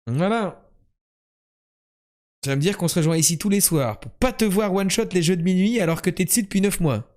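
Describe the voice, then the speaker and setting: Joking young French streamer, boastful banter. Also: male voice, high voice, brisk, darkish tone. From France. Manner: joking, boastful, chatty with the chat. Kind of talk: casual live-stream commentary.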